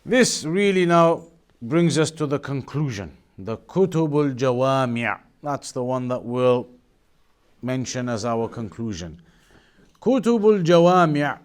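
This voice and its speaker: A man giving an Islamic studies lesson as a teacher, explaining in a measured way and rephrasing key points. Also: on the high side, a little mellow. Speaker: religious teacher.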